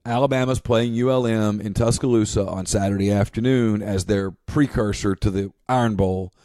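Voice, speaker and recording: A man's voice: on the low side, steady tone, moderate pace, dark tone, dry recording, clean studio sound. A man speaking casually as a podcast co-host. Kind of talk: casual storytelling.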